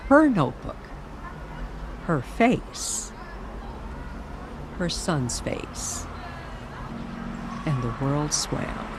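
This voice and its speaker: Female narrator for an eerie radio-style tale: unhurried, highly animated, suspenseful pauses. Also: a crowd in the background, noisy background. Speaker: storyteller narrator. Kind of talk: dramatic scripted narration of a paranormal radio story.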